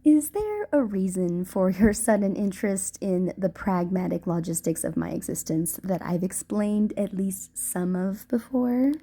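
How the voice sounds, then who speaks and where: Casting: female actor for tender ghost girlfriend, very mellow and slow, shy playfulness, formal halting speech, intimate audio roleplay. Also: mid-range voice. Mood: teasing, curious. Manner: soft, hesitant, formal wording with halting stammers.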